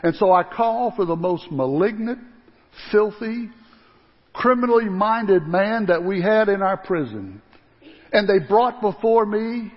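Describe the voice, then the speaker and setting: A man playing a guilt-ridden Roman governor in a dramatic first-person monologue, swinging between hushed and loud delivery. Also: high-pitched, husky, heavily acted. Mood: solemn. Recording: dull lo-fi sound with long hall reverb.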